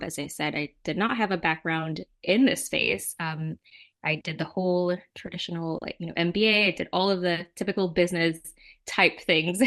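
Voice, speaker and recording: Low creaky-voiced woman, reflective. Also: a bit bright. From Jamaica. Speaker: nonprofit representative, interview guest. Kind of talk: conversational interview answers.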